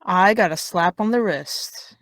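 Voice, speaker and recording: A young actress playing a blunt, sarcastic high school girl with no filter. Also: a little slow, no echo, close to an everyday voice. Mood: sardonic. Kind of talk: audio drama.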